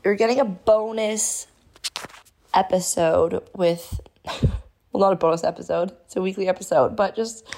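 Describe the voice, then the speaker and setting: A woman hosting her own podcast, talking casually and effusively with a hoarse voice she has lost. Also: medium pitch, a little mellow. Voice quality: gravelly, strained. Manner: bubbly, effusive. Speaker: podcast host.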